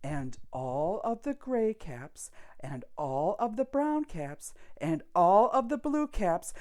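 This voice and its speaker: A woman reading a picture book aloud for children, expressive and deliberate, with dramatic pauses. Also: mid-range voice. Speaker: storyteller.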